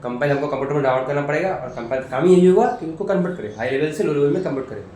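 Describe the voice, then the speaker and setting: A man's voice: on the high side, rapid-fire, a little mellow. Echoey, distant male programming tutor. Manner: repetitive, explains step by step with rhetorical questions. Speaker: programming teacher. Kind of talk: informal spoken tutorial explanation. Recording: very echoey room, distant mic, faint noise.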